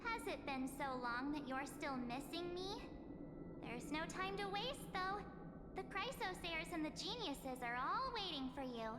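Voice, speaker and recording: A woman's voice: very high-pitched, animated, measured pace. A woman voicing a chatty, bubbly young female companion who talks in an upbeat way. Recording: roomy game audio with reverb. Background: game music.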